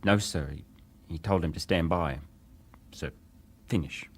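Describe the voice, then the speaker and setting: An actor playing a ship's wireless operator, a respectful but defensive witness giving clipped testimony in a dramatised hearing. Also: male voice, low-pitched, measured pace, husky. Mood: respectful, matter-of-fact. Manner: clipped, respectful, matter-of-fact.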